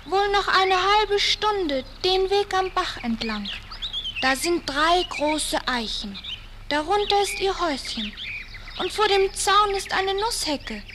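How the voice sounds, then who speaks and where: A woman's voice: extremely high pitch, lively intonation, takes it slowly, noisy background, heavily acted. A woman playing a sweet, cheerful little girl in a fairy-tale audio play, with an earnest, naive delivery. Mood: friendly, trusting.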